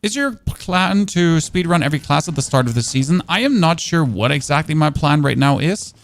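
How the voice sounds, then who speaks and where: A man's voice: fairly high pitch, animated, a little fast. A man streaming a game in casual chat, rambling and answering chat questions on a dynamic studio microphone. His first language is German.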